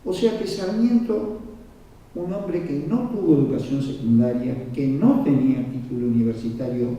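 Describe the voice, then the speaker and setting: The man you would hear from the back row of a big, booming conference hall, his very mellow, dull-toned voice explaining history point by point from the distant lectern. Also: moderate pace. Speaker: lecturer at a conference. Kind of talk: formal lecture on history, read from notes with asides. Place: large reverberant conference room. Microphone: distant microphone. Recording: very echoey hall, muffled, far from mic.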